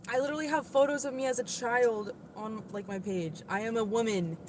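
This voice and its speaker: A woman with a moderately deep voice talks casually on her livestream. She answers viewer comments in a defensive, chatty way, peppered with "like" and "literally". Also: fairly quick, darkish tone, slight background noise.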